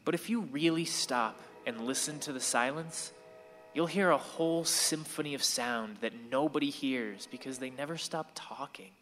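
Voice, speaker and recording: Male actor as sixteen-year-old boy, bright voice, reverberant hall, wistful radio play narrator, angsty, reflective. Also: medium pitch, normal speed, natural voice. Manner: reflective, storytelling to the listener.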